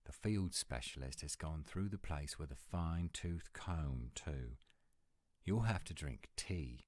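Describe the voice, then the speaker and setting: A man's voice: very deep voice, animated, measured pace, a little mellow, no room sound, heavily acted. A man voicing a grumpy, exasperated British werewolf in a scripted audio roleplay, curt and scolding, with a British accent. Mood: irritated.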